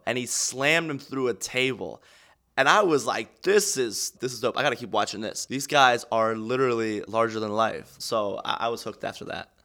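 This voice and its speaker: Bright-voiced young man telling his story. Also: mid-range voice. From Estonia. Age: 20s. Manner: candid, earnest storytelling, swings between quiet and fired up. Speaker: aspiring pro wrestler. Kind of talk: documentary interview.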